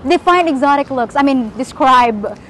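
A woman's voice: high voice, expressive, normal speed, a bit bright, slight background noise. A woman with a Filipino accent interviewing people on the street, asking teasing questions with a playful laugh. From Philippines.

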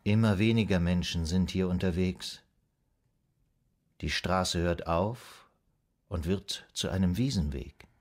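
An actor playing a calm, soothing psychoanalyst in a radio drama, guiding a patient in a measured, hypnotic, gently instructing way. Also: male voice, sits low. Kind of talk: German radio drama acting.

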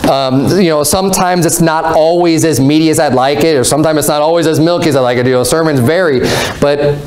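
A man's voice: a little high, a little mellow, a little hiss or hum. An American man in his thirties preaching as a pastor, explaining scripture in an informal, conversational way with repeated phrases. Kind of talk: sermon, conversational Bible teaching. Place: small indoor room with block walls, at a lectern. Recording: echoey room, mic at a distance.

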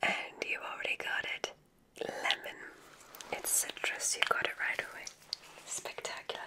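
A woman playing an encouraging witch examiner at a magic school in an ASMR roleplay, speaking in a soothing, breathy whisper with deliberate pauses. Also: natural voice. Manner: slow, soothing, gently enthusiastic, deliberate pauses.

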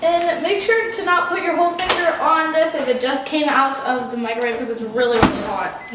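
A woman's voice: high voice, steady tone, a little fast. A teenage girl chatting playfully as co-host of a casual home cooking vlog, recorded in a kitchen by a far-off camera. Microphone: far camera on a tripod. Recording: echoey kitchen, noisy, from afar.

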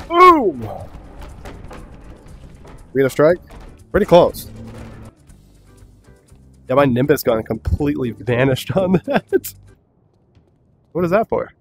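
A young man giving casual, excitable gameplay commentary, swearing often and laughing a lot. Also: a little high, slow, pauses often, faint music behind. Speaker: gaming YouTuber.

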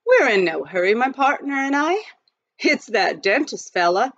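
A rough gold-rush miner drawls folksy frontier talk as a woman reads him in a storybook, his voice swinging up and down. The recording is dull and dry. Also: on the high side, big pitch swings, moderate pace, fairly bright tone, no room sound, voice pushed up, exaggerated voice.

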